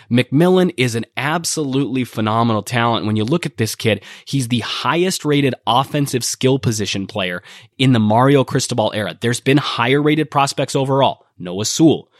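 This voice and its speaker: Male podcast host, nasal from a cold, emphatic and energetic delivery, middle-pitched voice, dry close-mic sound. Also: dry recording. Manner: energetic, emphatic, repeats phrases for stress. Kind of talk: recruiting news podcast monologue.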